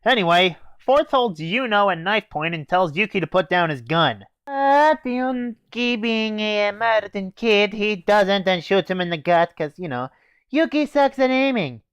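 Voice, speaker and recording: A woman's voice: medium pitch, expressive, a little slow, clean tone, dry recording, dull audio. A young woman giving a casual comedic recap as a reviewer, in a hesitant, stumbling, dry manner. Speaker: anime reviewer.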